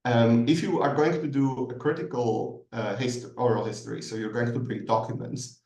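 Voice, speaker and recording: A man's voice: mid-range voice, fairly even intonation, normal speed, a little mellow. Guy answering q&a over a video call, lots of ums, roomy echo, sounds far off. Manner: thoughtful, hesitant, many ums and restarts. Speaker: guest expert answering questions. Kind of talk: masterclass Q&A answer. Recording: echoey room, distant mic.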